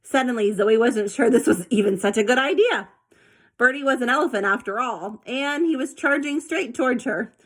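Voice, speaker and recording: A woman reading a children's story aloud with an expressive, animated delivery. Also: a little high. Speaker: storybook reader.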